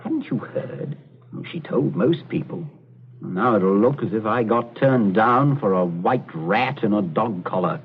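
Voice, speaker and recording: An actor playing a bluff, chatty middle-aged gentleman captain with hearty, clipped manners, in a 1940s radio drama style. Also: lowish voice, lively intonation, unhurried. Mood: breezy, jovial. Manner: hearty, clipped, old-fashioned gentlemanly phrasing. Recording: muffled, noisy 1940s radio recording.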